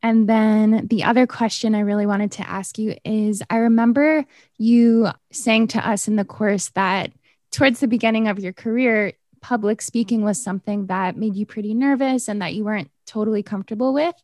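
A woman's voice: mid-range voice, moderate pace, darkish tone, no echo. Enthusiastic woman interviewer, dry sound. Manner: enthusiastic, praising questions. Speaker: interviewer and former student. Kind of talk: casual interview.

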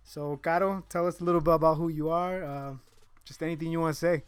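A man hosting a podcast in casual chat, relaxed and joking, laughing often. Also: a little high, fast, clean tone, dry recording. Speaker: podcast host.

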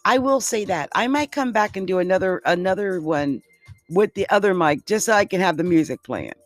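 A hoarse speaker chatting casually about astrology, rambling and giggling often. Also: mid-range voice, lively intonation, a little fast, dry recording, studio quality, faint music behind. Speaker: astrology vlogger.

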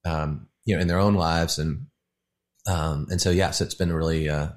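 A man, a musician calling in as a guest, talking in a relaxed, rambling way with many fillers over a call. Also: rumbling low, moderate pace. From United States. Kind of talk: casual interview over a call. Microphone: phone or video call.

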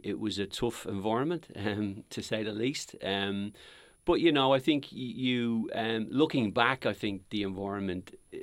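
Middle-aged man, Dublin accent, rambling podcast guest; low voice, dry recording. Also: no echo. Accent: Dublin Irish. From Ireland. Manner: rambling, many ums and restarts. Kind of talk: casual podcast interview.

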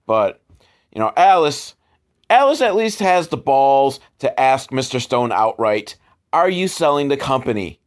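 A man's voice: mid-range voice, big pitch swings, measured pace. A man hosting a casual comedic commentary on old films, with a sarcastic, profane way of talking. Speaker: host reviewing old educational films.

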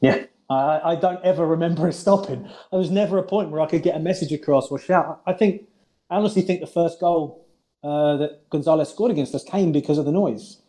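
A man with a mellow, warm voice that sits a little high for a man. He talks earnestly and haltingly, restarting sentences as he looks back on his coaching days. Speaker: former head coach. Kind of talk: reflective casual chat.

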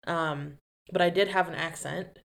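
A woman's voice: lowish voice, steady tone, unhurried, gravelly, a bit bright. A young woman from the Philippines, a podcast co-host chatting in a giggly, easygoing way. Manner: giggly, chatty, lots of fillers. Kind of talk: casual chat.

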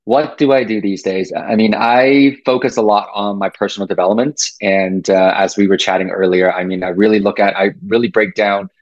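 Guy from taiwan on a podcast, lowish voice, kinda muffled dry call audio, lots of um and uh. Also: flat delivery, a little fast, few pauses, no echo. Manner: reflective, with frequent fillers and restarts. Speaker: podcast guest. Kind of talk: casual interview talk.

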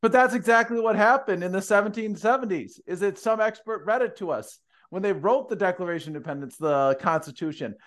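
A man's voice: sits high, a little fast, few pauses, a bit bright. A man co-hosting a casual discussion, with a big voice and a dry, wry humor. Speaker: podcast co-host.

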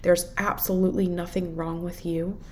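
A woman's voice: lowish voice, level tone, normal speed, mellow, a little hiss or hum. A woman with a head cold, congested, hosting a podcast alone in an earnest, encouraging, casual and unscripted way. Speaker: podcast host and self-improvement mentor.

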